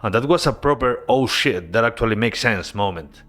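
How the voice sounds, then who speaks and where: Male developer, mid-pitched, earnest devlog narration over soft music. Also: normal speed. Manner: earnest, relaxed storytelling with casual asides. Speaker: indie game developer.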